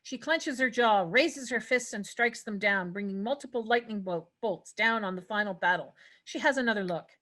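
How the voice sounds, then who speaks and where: A woman's voice: medium pitch, normal speed, few pauses, pushed and bright. A woman narrating stage directions at a scripted table read, occasionally stumbling over words.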